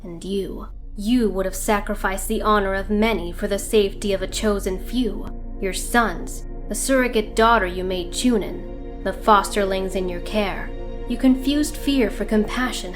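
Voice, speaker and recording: An actress voices a young female ninja clan ruler in a motion comic. She is cold and imperious, with a medium pitch and a haughty, formal, measured delivery. Music plays clearly behind her. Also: lightly acted. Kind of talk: motion comic villain monologue.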